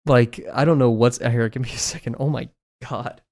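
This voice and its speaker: A man's voice: medium pitch, steady tone, normal speed, a little mellow. A young man chatting casually on a live stream while he draws, rambling and joking about himself, in an apartment room fitted with acoustic foam. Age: young adult. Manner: chatty, rambling, self-deprecating, laughs often.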